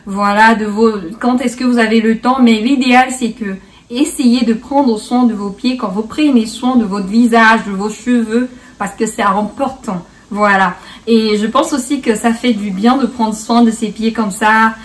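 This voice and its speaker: A woman's voice: a little high, steady tone, unhurried, far from the mic. A woman hosting a casual beauty tutorial in a playful, chatty way, slipping bits of English into her French. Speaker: beauty vlogger, host.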